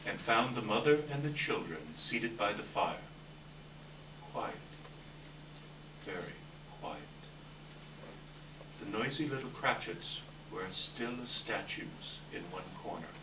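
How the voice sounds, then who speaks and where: A man narrating a scripted story dramatically, with measured, expressive delivery. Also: fairly low pitch. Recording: distant echoey hall, clear background noise.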